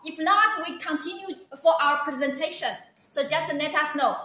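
Chinese-accented woman, live-stream sales presenter, high bright voice, very echoey room. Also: normal speed. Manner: eager, repeats "okay" and "wow", non-native phrasing.

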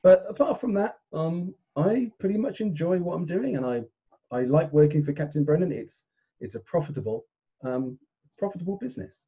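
A middle-aged man introducing his tabletop game character in a casual, halting way over a video call. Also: on the high side, lively intonation, husky, dull audio. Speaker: player. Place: indoors at home, online video call.

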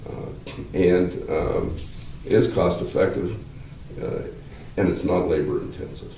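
An older man, a law professor, explaining at length in a measured, halting way with many fillers, seated in a wood-paneled room. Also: low voice, gravelly, dark tone. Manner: gestures with hands while talking. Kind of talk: explanatory interview answers. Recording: roomy, slightly distant, faint hiss.

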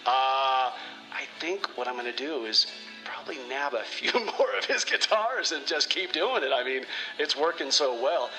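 A man's voice: mid-range voice, animated, normal speed, pushed and bright, low-quality recording. A man playing a sly, chummy rock roadie, talking in a casual, self-satisfied way in comedy radio theater. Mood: smug. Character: sly, chummy male rock roadie and small-time schemer. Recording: music playing behind the voice.

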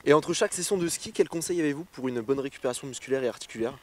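An interviewer hosting the show asks questions from notes outdoors on a snowy slope, using a handheld mic and a brisk manner. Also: mid-range voice. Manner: brisk, asks questions in long run-on phrasing.

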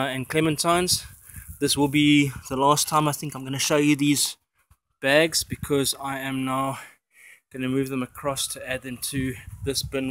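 A man's voice: mid-range voice, a little hiss or hum. A man, casually showing his worm farm in a sunny garden and chatting enthusiastically to a handheld phone camera. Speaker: worm farmer vlogger. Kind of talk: casual how-to vlog.

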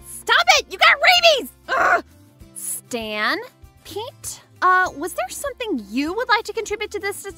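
A woman's voice: very high-pitched, sing-song, measured pace, pushed and bright, soft background music, exaggerated voice. A woman voicing an angry, bossy young princess in a cartoon fan dub, snappish and sarcastic. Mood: bossy, irritated. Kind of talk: animated series fan dub.

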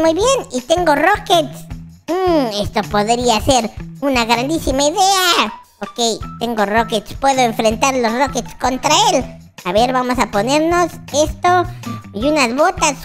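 Casting: baby boy gamer character, squeaky and extremely high, animated, excitable, boastful yet sweet, over kids' music. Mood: eager, confident. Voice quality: squeaky, childlike. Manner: exclamatory, repeats words in bursts. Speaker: gaming channel host. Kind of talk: roleplay gameplay commentary for children. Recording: music behind the voice. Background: children's music.

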